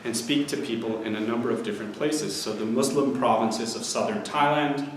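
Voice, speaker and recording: A man in his forties giving an academic history lecture, measured and explanatory, steadily listing places. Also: mid-range voice, slight background noise. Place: lecture hall. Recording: very echoey hall with faint ambience.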